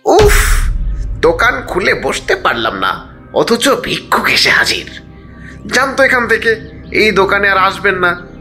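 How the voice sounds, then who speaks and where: A man's voice: high-pitched, huge pitch swings, a little slow, long pauses, pushed and bright, soft background music, full character voice. A man playing a greedy, scornful cartoon shopkeeper who is scheming and self-important. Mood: irritated, dismissive. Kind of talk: cartoon story character dialogue.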